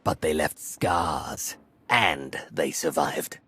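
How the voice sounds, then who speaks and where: An actor playing a proud, combative clan warrior in a video game, with a gravelly, raspy voice and grandiose delivery. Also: male voice, a bass voice, slow pace, a bit bright, exaggerated voice. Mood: brooding. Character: proud, hot-blooded male Mandalorian clan warrior.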